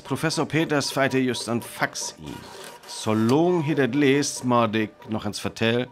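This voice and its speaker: Earnest male radio reporter played by an actor for a radio play: North Frisian accent, mid-pitched, formal and deliberate live announcing. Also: natural voice.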